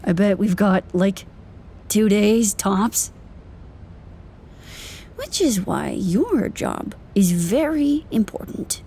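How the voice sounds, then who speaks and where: A woman's voice: mid-range voice, animated, slow, pauses often, mellow, a little hiss or hum. A woman acting in an audio drama as someone whimsically muttering to herself in a casual, rambling way. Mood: musing, playful.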